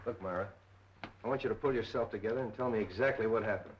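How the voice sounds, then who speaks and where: Guy doing brusque 30s movie cop, talks fast, businesslike, bit of hiss. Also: fairly low pitch, close to an everyday voice. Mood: stern, questioning. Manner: curt, businesslike, questioning. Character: brusque, no-nonsense police detective. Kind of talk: 1930s film acting. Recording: muffled, lightly noisy 1930s film soundtrack.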